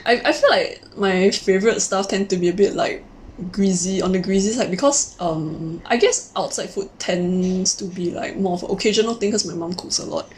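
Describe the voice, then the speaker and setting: A young woman with a Singaporean English accent chats casually as a co-host, filling her explanations with "like" as she goes. Her pitch sits in the middle range. Faint noise hums in the background. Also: normal speed, low-quality recording. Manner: chatty and explanatory, many "like" fillers. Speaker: podcast co-host. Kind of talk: casual chat.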